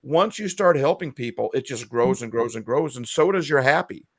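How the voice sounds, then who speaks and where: A man's voice: medium pitch, expressive, moderate pace, no echo, studio quality. Earnest, emotional male podcast co-host. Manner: earnest, emotional, self-described feeler. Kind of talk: casual video-call chat.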